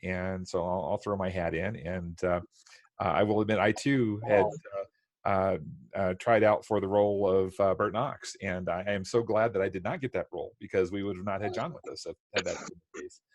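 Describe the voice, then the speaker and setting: Man, deep voice, dry close sound, casual live-stream chat. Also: fairly even intonation, moderate pace, no echo. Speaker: volunteer audio drama voice actor.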